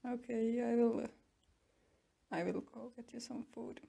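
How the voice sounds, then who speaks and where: A woman playing a smug, taunting tsundere captor in an ASMR roleplay, mocking and teasing with drawn-out pauses. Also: on the high side, fairly even intonation, gravelly, mellow, husky, full character voice. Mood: grudging, threatening. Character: smug, taunting tsundere young woman keeping a captive werewolf.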